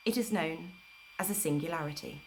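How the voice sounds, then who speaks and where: A woman reading a book aloud in a measured, deliberate storyteller's delivery on an amateur livestream recording. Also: low voice, noisy background. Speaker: storyteller reading an audiobook live. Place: informal livestream, not a studio.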